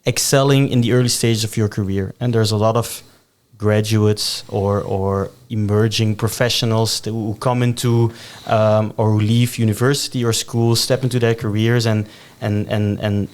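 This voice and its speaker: A man's voice: lowish voice, level tone, unhurried. A man hosting a podcast interview, who often repeats words and restarts his sentences while asking questions. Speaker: podcast host.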